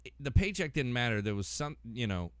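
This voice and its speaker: A man hosting a podcast, talking casually in a rambling way and getting animated as he acts out imagined quotes. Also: mid-range voice, a little fast, no echo. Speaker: podcast host.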